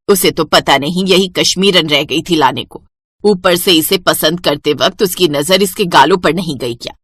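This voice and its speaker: A woman's voice: lowish voice, fairly bright tone, dry recording, studio quality. Woman acting a scolding, exasperated mother-in-law. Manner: scolding, sarcastic complaining. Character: sharp-tongued middle-aged Indian mother-in-law, exasperated and scolding.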